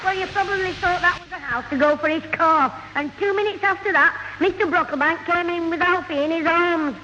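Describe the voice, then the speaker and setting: An actor playing a cheeky, scheming schoolboy in a radio sitcom, with a Northern English accent and a mock-innocent, quick-witted manner. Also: male voice, very high-pitched, in a large hall. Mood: aggrieved. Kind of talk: 1970 radio sitcom acting. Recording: muffled old radio broadcast, hollow, hissy.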